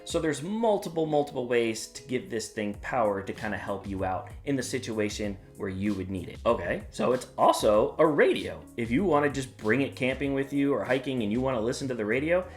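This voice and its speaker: A man's voice: mid-range voice, animated, brisk, few pauses, soft background music. A man hosting his own channel, talking casually and upbeat through a gadget review with a few fillers. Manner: upbeat, plain-spoken, with some "uh" fillers. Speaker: product reviewer and channel host. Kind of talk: casual product review vlog.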